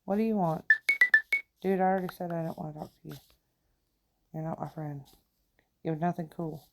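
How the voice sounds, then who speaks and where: A woman's voice: low voice, fairly even intonation, a little fast, warm tone, faint music behind. A middle-aged woman with a country accent, chatting and giggling to herself as she plays a game. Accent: Southern US country. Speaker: gamer. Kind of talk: casual gameplay commentary.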